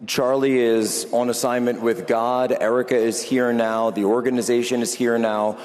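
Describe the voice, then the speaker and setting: A man's voice: medium pitch, level tone, moderate pace, darkish tone. A man in his thirties interviewing on a conference stage, earnest and rambling, often correcting himself. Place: large conference hall stage with live audience. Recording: long hall reverb.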